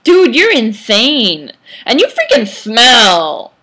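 A young woman voicing an indignant, hot-tempered teenage girl with loud, exaggerated outrage in a fan fiction reading. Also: fairly high pitch, huge pitch swings, slow, exaggerated voice, poor audio.

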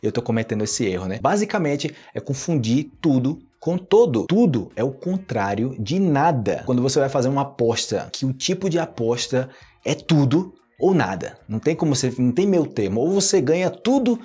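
A Brazilian man in his thirties with a Brazilian Portuguese accent, teaching a lively language lesson to camera and acting out example sentences. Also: normal speed, slightly rough. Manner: animated, gestures a lot, acts out example sentences in a higher voice. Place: home studio with monitors and pink lighting.

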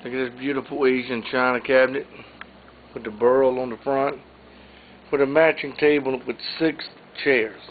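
A man's voice: medium pitch, steady tone. Man listing auction items, tinny audio. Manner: plain, unhurried item-by-item listing. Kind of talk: informal walkthrough narration. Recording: tinny phone-like audio, faint hiss.

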